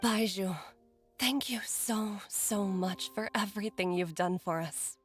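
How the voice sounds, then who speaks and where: A woman playing a gentle, grateful mother in a video game. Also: mid-range voice, measured pace, faint music behind, lightly acted.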